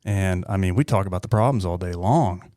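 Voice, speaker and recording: A man with a fairly low voice hosts a casual podcast. His delivery is informal and halting, with frequent pauses, and the sound is close and dry. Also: dark tone, dry recording. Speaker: podcast host.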